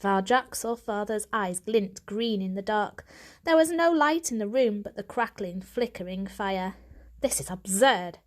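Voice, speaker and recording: A woman reading a storybook aloud as an audiobook narrator, in an expressive scripted style. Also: medium pitch, measured pace.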